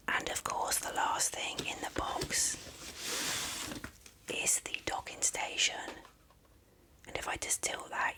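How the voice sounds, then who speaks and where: ASMR unboxing host: whispers throughout, gentle, rambling, in no hurry. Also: poor audio. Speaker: video host showing an unboxing.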